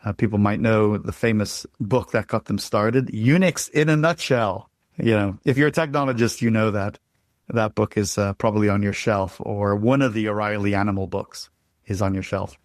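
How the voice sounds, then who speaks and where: Irish man, middle-aged, low voice, dry close sound, rambling with restarts. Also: normal speed, no echo. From Ireland. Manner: rambling, frequent restarts and fillers. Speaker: guest. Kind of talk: casual interview answers.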